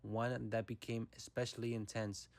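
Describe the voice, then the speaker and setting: A young man from the United States giving a reflective, measured narration for a personal documentary. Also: medium pitch, level tone, creaky, darkish tone, no room sound.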